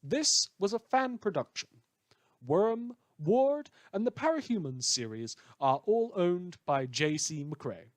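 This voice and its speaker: A man's voice: high-pitched, sing-song, slow, no room sound. A man who makes a fan podcast, reading out credits and thanks to listeners and helpers.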